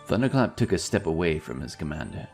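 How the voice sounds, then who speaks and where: Male narrator, low voice, creaky edge, scripted fantasy storytelling, background music. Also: very animated, fast, darkish tone.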